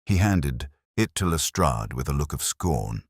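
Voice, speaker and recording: A man reading a scripted dramatic story as an audiobook narrator, in a measured, formal, literary manner. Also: very deep voice, sing-song, slightly rough, no echo, studio quality.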